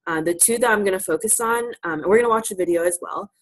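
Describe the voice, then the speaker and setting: A woman's voice: medium pitch, quick pace. A woman presenting an informal, interactive lesson to a class, encouraging and affirming as she teaches. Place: live virtual presentation.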